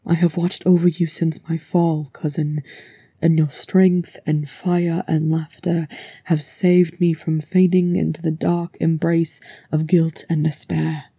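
A woman voicing a dead dwarf king in a fantasy story, proud and anguished, speaking with solemn fervour in a breathy rasp. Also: deep voice, level tone, warm tone, dry recording, clean studio sound, exaggerated voice. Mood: fervent, tender. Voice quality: breathy, rasping. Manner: slow, solemn, fervent.

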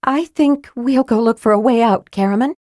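A woman voicing a determined young woman who speaks briskly and grimly. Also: a little high, warm tone, dry recording, clean studio sound, voice pushed up, close to an everyday voice. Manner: brisk, grim.

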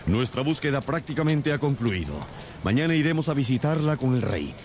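Un actor que interpreta a un detective brillante, formal y preciso en una radionovela. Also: male voice, medium pitch, animated, brisk, darkish tone, slight background noise, close to an everyday voice. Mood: confident. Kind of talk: radio drama acting.

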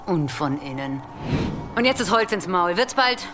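An actress playing a mocking, gruff heathen herb woman in a radio play, sardonic and prone to muttering and whispers. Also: fairly low pitch, sing-song, measured pace, background noise. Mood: brusque, businesslike. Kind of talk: dramatic radio play acting.

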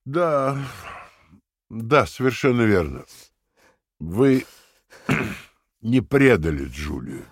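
An actor playing a cold, calmly sadistic interrogator in an audio drama, speaking in a deliberate, patronising and commanding way. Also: male voice, mid-range voice, dry recording, clean studio sound, full character voice. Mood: calmly condescending.

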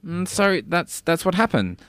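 A flustered, stammering man acting out a comedy sketch for a podcast. His pitch swings widely, and the recording is close and dry. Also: big pitch swings, measured pace, a bit bright, dry recording, faint music behind.

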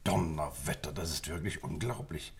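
An actor playing an astonished, questioning colonel in a German radio play, formal and incredulous. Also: male voice, extremely low pitch, moderate pace, husky, full character voice. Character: astonished, questioning gentleman colonel, an admiring listener.

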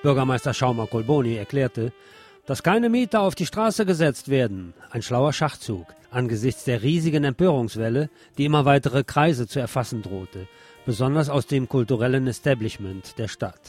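A man's voice: mid-range voice, animated, normal speed, a little mellow. Sardonic male radio essayist over music. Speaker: radio host. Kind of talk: scripted radio essay, literary first-person narration.

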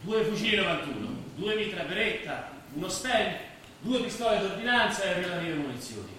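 Bright, forceful storyteller. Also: male voice, sits high, animated, fairly quick. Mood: businesslike. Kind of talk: Italian stage drama acting, storytelling. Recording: very echoey stage, faint background noise.

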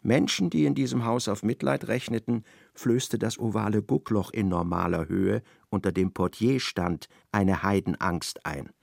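A man narrating a literary radio play in a measured, precise storytelling style. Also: on the low side, gravelly, no room sound, studio quality.

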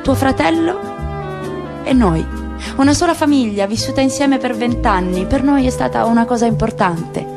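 Woman reading a grieving middle-aged father, earnest, soft and measured, high voice, music behind. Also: close to an everyday voice. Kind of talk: radio drama reading.

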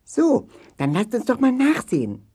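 A man's voice: very high-pitched, huge pitch swings, measured pace, dark tone, breathy edge, exaggerated voice. A man playing a thoughtful, curious doctor in a children's audio play, pondering aloud with musing hums. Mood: concerned, businesslike. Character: thoughtful, curious male doctor, leader of animal friends.

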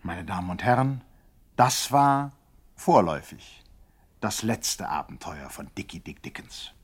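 An actor playing a wry, mock-sensational narrator of a 1960s German radio crime comedy, emphatic and dramatic. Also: male voice, fairly low pitch.

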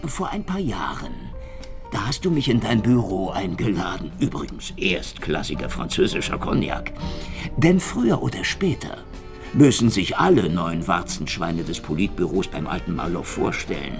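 An actor playing a cynical, streetwise Soviet secret police investigator in a German radio crime drama, sardonic, insistent and swaggering. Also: male voice, sits low, very animated, normal speed, creaky, music in the background, full character voice. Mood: needling, swaggering.

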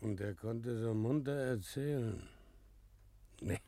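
An actor in a radio play as a gossiping townsman with a ponderous, relaxed way of talking. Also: medium pitch, takes it slowly, dry recording. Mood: dismayed gossip. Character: dismayed, gossiping village townsman. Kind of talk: German radio play acting.

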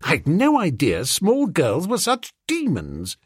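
A man voicing an amiable, dim young upper-class English gentleman in an audiobook, hesitant and full of old-fashioned exclamations. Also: on the high side, huge pitch swings, slow, husky, no echo, studio quality, pitched above the performer's own voice, heavily acted. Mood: dismayed.

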